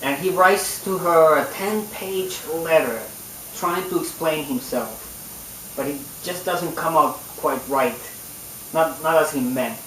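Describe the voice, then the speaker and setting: A man's voice: a little high, a little slow, fairly bright tone, a little hiss or hum. A man co-hosting an amateur talk show in a casual, wry way. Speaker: co-host and amateur singer-songwriter. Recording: echoey room, distant microphone.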